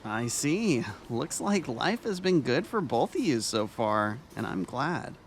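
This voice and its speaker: A man playing a gentle, polite former school vice-principal in an audio drama, with courteous, formal and caring phrasing. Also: mid-range voice, big pitch swings, slow, slight background noise. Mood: warm, attentive. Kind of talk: audio drama acting of fan fiction.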